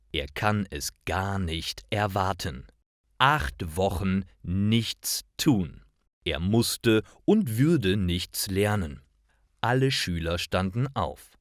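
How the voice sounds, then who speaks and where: A man reading scripted stories for language learners in a careful, deliberate manner. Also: deep voice, no room sound, studio quality. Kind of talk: scripted storytelling narration for learners.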